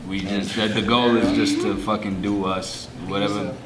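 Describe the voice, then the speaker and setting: Low-voiced, blunt young man. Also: flat delivery, moderate pace, heavy background noise. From born in St. Thomas, raised in Decatur. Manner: laid-back, blunt, curses freely. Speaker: rapper in a group. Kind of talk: casual group interview. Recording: loud crowd chatter.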